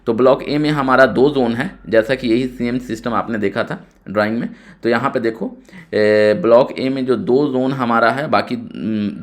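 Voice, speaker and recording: A man giving an informal tutorial, explaining technical drawings step by step and often repeating himself. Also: medium pitch. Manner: step-by-step explaining with frequent repetition. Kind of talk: informal screen-share tutorial narration.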